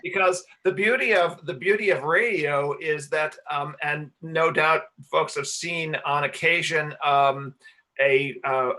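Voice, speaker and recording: A man with a bright, forward voice pitched a little high talks casually in a panel Q&A. He explains things in a halting way, feeling his way through each point. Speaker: radio play director and sound engineer.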